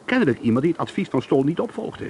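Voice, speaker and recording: An actor playing a curious, suspicious holidaymaker who paints, in a Dutch radio drama with a conversational, inquisitive delivery. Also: male voice, on the high side, sing-song, fast talker, darkish tone, a little hiss or hum. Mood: intrigued, suspicious.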